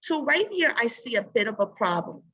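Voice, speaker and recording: Lady teacher doing a read aloud lesson, higher voice thats bright and a bit raspy, keeps asking guiding questions. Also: very animated, moderate pace, dull audio. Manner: instructive, asks guiding questions.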